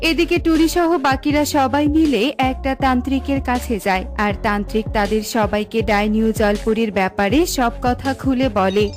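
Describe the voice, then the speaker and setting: A woman's voice: on the high side, moderate pace, hardly any pauses. A woman reading scripted narration for a children's cartoon story. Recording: music playing behind the voice.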